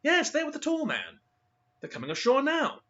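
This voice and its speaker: A man's voice: very high voice, huge pitch swings, normal speed, a bit bright, muffled recording, pitched above the performer's own voice, heavily acted. A man voicing a cheerful, disparaging young man. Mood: breezy. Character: breezy, disparaging young Englishman.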